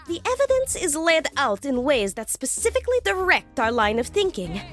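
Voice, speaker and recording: An intellectual female profiler, played by a woman in an audio drama, who lectures with formal precision. Her voice sits fairly high and moves with plenty of melody, yet she stays cool and methodical. Faint music plays underneath. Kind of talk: fan audio drama acting.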